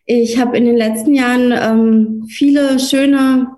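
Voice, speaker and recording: A woman's voice: on the high side, steady tone, slow, dark tone, rough recording. A woman lawyer whose first language is Russian, answering interview questions thoughtfully with frequent hesitations. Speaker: lawyer, guest.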